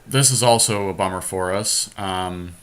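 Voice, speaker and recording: A man with a fairly low voice and a bright, forward edge hosts a card game session. He thinks aloud casually, working through each choice in loose, slangy language. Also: measured pace. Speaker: podcast host playing a card game.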